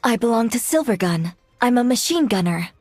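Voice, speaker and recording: A woman's voice: fairly high pitch, expressive, brisk, a little mellow, dry recording, faint music behind. A woman playing an earnest young female android machine gunner in a video game, loyal and protective, with a direct way of speaking. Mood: friendly. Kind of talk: English video game voice acting.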